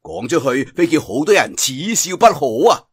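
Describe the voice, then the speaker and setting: A man's voice: fairly high pitch, very animated, moderate pace, husky. Man playing a wily, blustering Cantonese outlaw swordsman. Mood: amused, self-deprecating. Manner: ingratiating, theatrical bluster.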